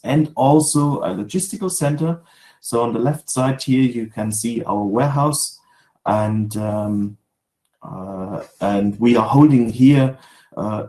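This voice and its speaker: A man's voice: on the low side, darkish tone. German man presenting from an echoey home office. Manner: hesitant, many fillers and restarts. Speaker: guest speaker, sales manager. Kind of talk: webinar presentation.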